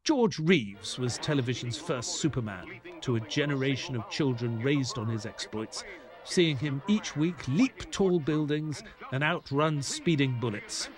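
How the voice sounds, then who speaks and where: An actor playing an eager, earnest detective's assistant who narrates an audio drama like a storyteller. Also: male voice, mid-range voice, lively intonation, unhurried, lightly acted. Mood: narrating.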